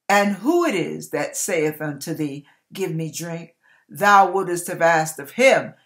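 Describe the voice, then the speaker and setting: An older woman in about her sixties gives a dramatic Bible reading as a preacher, emphatic and deliberate, stretching words and pausing between phrases. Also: fairly low pitch, a bit bright. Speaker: online preacher reading scripture aloud. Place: small indoor room with curtain behind.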